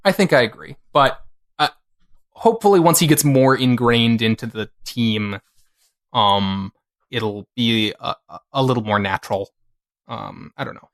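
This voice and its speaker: A man co-hosting a podcast who talks at an average pitch. He speaks in a halting, rambling way, backing up and restarting his sentences as he chats. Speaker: podcast co-host. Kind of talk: casual chat.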